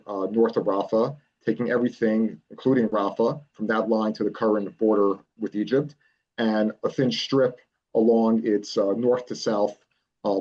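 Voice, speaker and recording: A man as a political consultant guest, explaining a plan in measured speech with frequent pauses. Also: lowish voice, darkish tone, lo-fi sound. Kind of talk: remote interview, explaining a plan.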